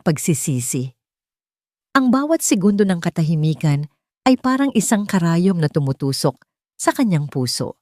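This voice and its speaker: A woman's voice: on the low side, darkish tone, dry recording, clean studio sound. A woman storyteller reading a scripted romantic tale in a dramatic narrating style.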